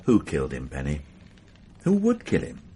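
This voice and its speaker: An actor playing a shrewd, wry police detective in a radio drama who questions people in a dry, probing way. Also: male voice, rumbling low, wildly swooping pitch, normal speed, warm tone, a little hiss or hum, heavily acted.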